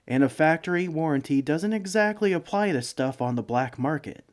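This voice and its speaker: A man's voice: fairly high pitch, animated, moderate pace, lightly acted. A man playing a bumbling, broke villain neighbor in a comedic audio roleplay, speaking in a hesitant, pleading, self-justifying way. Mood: exasperated. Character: broke, bumbling, sheepish male supervillain neighbor begging for sugar. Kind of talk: comedic ASMR roleplay monologue.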